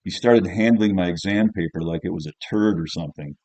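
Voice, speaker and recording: A man's voice: sits low, a little fast, darkish tone, dry recording. A man reading as a jaded, cynical teenage schoolboy, narrating an audiobook in the first person in a colloquial, grumbling, offhand way.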